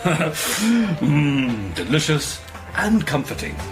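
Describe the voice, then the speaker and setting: A genial, easily pleased British boss in a radio comedy. His fairly high voice swoops as he rambles and hesitates, with music clearly behind him. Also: sing-song, extremely slow, full character voice. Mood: rambling, genial. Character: cheerful, woolly, easily pleased male magazine boss. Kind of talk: radio comedy drama acting.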